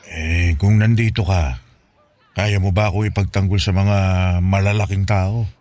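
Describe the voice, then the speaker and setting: A man's voice: deep voice, fairly even intonation, measured pace, a little mellow. An actor playing an ailing, poor but proud father in Filipino radio drama style, weary, patient and reassuring. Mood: firm, resigned.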